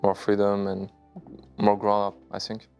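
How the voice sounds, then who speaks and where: Guest, 30s man from China, very low gravelly voice, smooth, hesitant phrasing, soft music under. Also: monotone. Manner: halting, searching for English words. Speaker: interview guest, poker player. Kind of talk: casual interview answers.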